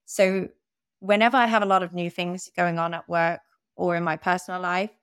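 Candid young woman, smooth voice. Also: medium pitch, fairly bright tone, dry recording, clean studio sound. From Netherlands. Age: young adult. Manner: candid, self-deprecating, laughs at herself. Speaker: podcast guest, growth advisor. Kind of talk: conversational interview.

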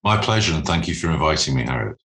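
Low, bright-voiced businessman, measured delivery. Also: very animated. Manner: measured, formal, deliberate phrasing with pauses. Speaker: interview guest, private equity managing director. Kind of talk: explanatory business interview.

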